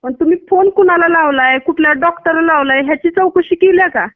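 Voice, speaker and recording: A woman's voice: extremely high pitch, brisk, no echo. A woman with a Maharashtrian Marathi accent, a doctor speaking bluntly in a casual phone conversation. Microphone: phone call. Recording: tinny narrowband phone line.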